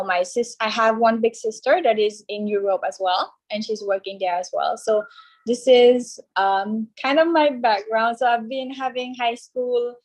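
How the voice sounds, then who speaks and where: Higher-voiced young woman on a video call. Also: low-quality recording. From France. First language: Cambodian. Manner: relaxed, chatty, with frequent fillers. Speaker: pageant contestant.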